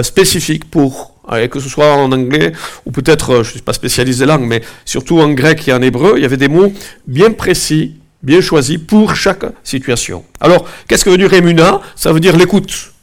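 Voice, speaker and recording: A man with a head cold giving a relaxed, conversational sermon to his congregation, with many fillers and asides, also leading a prayer. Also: a little high, normal speed. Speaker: preacher. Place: church gathering, also streamed online.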